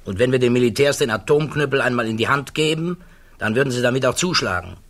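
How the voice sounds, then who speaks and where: Reflective, measured physicist. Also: male voice, medium pitch, expressive, a bit bright. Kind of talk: radio play acting.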